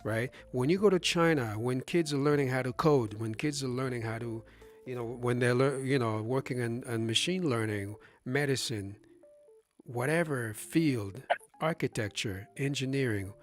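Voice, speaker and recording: A man's voice: mid-range voice, moderate pace, soft background music. A man hosting a live stream in a casual discussion, talking emphatically, putting rhetorical questions to his listener and often restarting his phrases. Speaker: livestream host.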